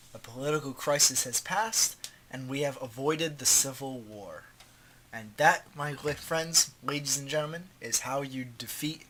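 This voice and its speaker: A man's voice: mid-range voice, pushed and bright, slight background noise, poor audio. A man with a stuffed-up nose and a slightly sore throat, casually walking through a strategy game tutorial in an offhand, slangy way while clicking through menus. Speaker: gaming tutorial host.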